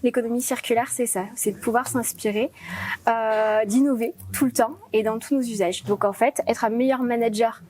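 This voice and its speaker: A woman's voice: fairly high pitch, fairly even intonation, normal speed, darkish tone. A woman with a French accent giving explanatory interview answers as a guest, didactic and building her points step by step. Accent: French (France). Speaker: project lead interviewed as guest.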